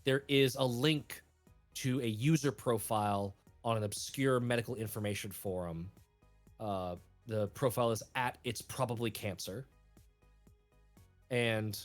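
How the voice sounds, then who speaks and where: A man narrates a tabletop game as its game master in a loose, chatty way full of fillers. His voice sits at an ordinary middle pitch. Faint music plays behind him.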